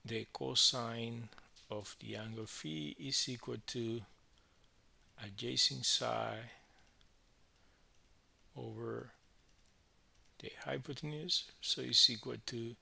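A man teaching physics, explaining a worked problem step by step in halting phrases with frequent verbal checks. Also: mid-range voice, fairly even intonation, creaky, bright, forceful tone, breathy edge.

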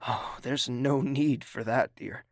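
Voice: medium pitch, steady tone, slow pace, no echo, studio quality. An actor playing a haughty, impatient young royal prince in an audio drama, clipped and condescending. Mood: relaxed, familiar.

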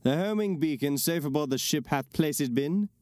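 An actor playing a formal, wary imperial commander, speaking measured archaic verse in an audio drama. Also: male voice, fairly high pitch, expressive, darkish tone, dry recording, clean studio sound, lightly acted. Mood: wary, stern.

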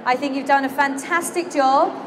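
A woman working as ring announcer in a large indoor exhibition hall, calling out names slowly and clearly over a public-address system. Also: high-pitched, steady tone. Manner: slow, declamatory announcing of names. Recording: crowd and hall noise.

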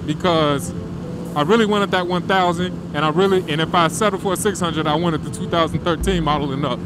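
A man talking casually to viewers while riding a motorcycle on country roads, relaxed and slangy, through a helmet mic. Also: high voice. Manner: laid-back, slangy, addresses viewers directly. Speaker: motovlogger.

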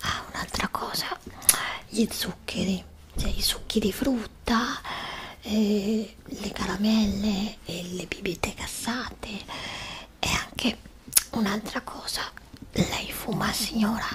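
A woman doing an ASMR medical roleplay as a doctor, speaking gently and hesitantly into a close microphone. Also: mid-range voice, fairly even intonation, slow pace. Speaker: ASMR performer playing a doctor. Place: small indoor setup with dark backdrop. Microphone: desktop condenser microphone, close up.

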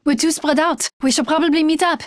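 Friendly young heroine for a game voiced by a woman: fast, high, light, eager callouts. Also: steady tone, no echo, studio quality, close to an everyday voice.

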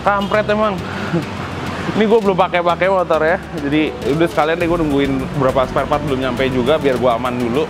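A man's voice: fairly high pitch, steady tone, fast talker, hardly any pauses, music in the background. A young man chatting casually as a vlog host, slangy and grumbling in an exasperated way. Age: young adult. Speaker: motovlog host. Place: open concrete garage forecourt by a workshop.

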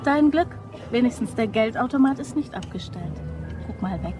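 A woman's voice: fairly high pitch, expressive, normal speed, music in the background, close to an everyday voice. An actress playing a guarded, teasing young office woman in a German crime radio play. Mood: matter-of-fact, coy.

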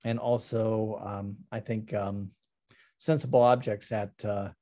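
A middle-aged man talking casually about his artwork on a video call, halting with many ums and restarts. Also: lowish voice, steady tone, mellow. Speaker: artist presenting his work. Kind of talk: casual talk over a slide presentation.

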